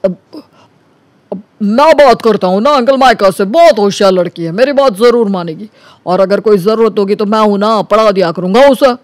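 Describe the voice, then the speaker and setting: A male actor plays a cocky young smooth-talker from an animated story. His voice sits high, and he is glib and boastful, buttering up his elders. The sound is dry and close. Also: normal speed, no room sound, close to an everyday voice. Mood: earnest, smug. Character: cocky, flattering young man sucking up to elders. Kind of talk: animated Hindi story character acting.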